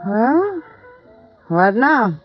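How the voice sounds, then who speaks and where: A woman's voice: a little high, big pitch swings, drawn-out words, faint music behind, full character voice. An actress playing a guarded, world-weary widow, down on her luck and defiant, in a 1950s radio noir drama. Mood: defiant, disbelieving. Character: bitter, tough, down-and-out young widow. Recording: dull, dry vintage radio recording.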